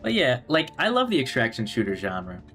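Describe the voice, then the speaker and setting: A rambling man talks over his gameplay on a headset, stopping and restarting his sentences. His voice is slightly hoarse and swings freely up and down. Faint music plays underneath. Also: mid-range voice, wildly swooping pitch, brisk, fairly bright tone. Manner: chatty, rambling, many restarts and fillers. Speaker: streamer. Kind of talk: casual gameplay stream chat. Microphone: gaming headset.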